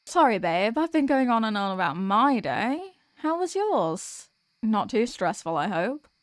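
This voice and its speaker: A woman's voice: a little high, sing-song, a little slow, no room sound, studio quality. A woman playing a sweet, weary demoness girlfriend in an ASMR roleplay, chatting affectionately and intimately to her partner. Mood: affectionate, gushing. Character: sweet, weary demoness girlfriend venting about office work.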